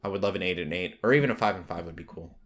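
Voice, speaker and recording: Guy podcast host w a lowish voice, casual rambly chat, wanders off on tangents. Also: very fast, a bit bright. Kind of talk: casual pop culture chat.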